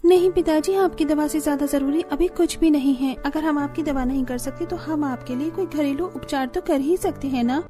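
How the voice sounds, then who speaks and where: A woman's voice: high voice, moderate pace, hardly any pauses, over music, lightly acted. A woman playing a hardworking, earnest young daughter-in-law in a village cartoon story. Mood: concerned, earnest. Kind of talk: Hindi moral-story cartoon dialogue.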